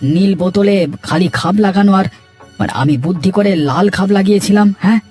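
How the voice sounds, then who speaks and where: A man's voice: sits high, a little fast, darkish tone, soft background music, close to an everyday voice. A man voicing an aggrieved villager in a melodramatic cartoon moral tale, emphatic and lamenting. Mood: indignant.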